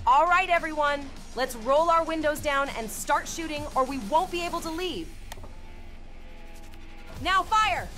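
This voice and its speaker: A woman playing a confident adult monster fighter who mentors kids with calm command in a cartoon comic dub. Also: high-pitched, measured pace, pauses often, soft background music. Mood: rallying.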